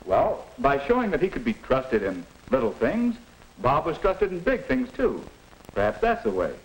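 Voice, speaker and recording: An actor playing a patient, middle-aged father advising his son, measured and instructive, in a 1950s educational film. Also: a little high, huge pitch swings. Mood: approving. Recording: noisy 1950s film soundtrack.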